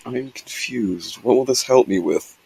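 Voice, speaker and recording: A man's voice: medium pitch, measured pace, creaky, mellow, slight background noise, rough recording. A man voicing an earnest, somewhat uncertain young hero in combat training for an amateur comic dub. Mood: puzzled.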